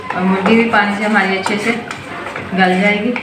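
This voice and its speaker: A middle-aged woman walks viewers through a home recipe, one step at a time, in a calm, homely way. She sounds far from the mic, in a very echoey kitchen, with loud sizzling from the pan behind her. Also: mid-range voice, normal speed. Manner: calm, homely, talks through each step while cooking. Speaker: cooking channel host. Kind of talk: step-by-step cooking demonstration. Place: home kitchen, cooking at the stove. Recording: echoey, distant, noisy home recording. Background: frying and sizzling from the pan.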